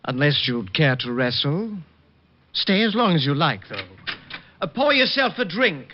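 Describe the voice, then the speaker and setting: An actor playing a haughty, urbane, indignant husband in an old-time radio drama, with pompous, precise, arch delivery. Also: male voice, a little high, big pitch swings, unhurried, noisy background. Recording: dull vintage radio recording.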